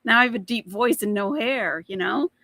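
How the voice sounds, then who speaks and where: A woman's voice: a little high, moderate pace, sharp tone, a little hiss or hum. A woman in her forties chatting casually as she reacts to a video, often turning to her viewers with questions and laughing. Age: 40s. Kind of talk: casual commentary reacting to a clip.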